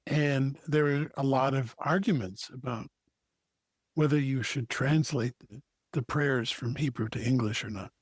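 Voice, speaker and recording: Husky, slow-talking male podcast host. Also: medium pitch, no echo. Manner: thoughtful, earnest. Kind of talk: reflective conversation.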